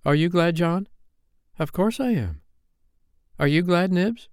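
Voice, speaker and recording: A man voicing excitable little boys in a bedtime storybook, eager, boisterous and childlike. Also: high-pitched, measured pace, clear, resonant tone, no echo, clean studio sound, pitched above the performer's own voice.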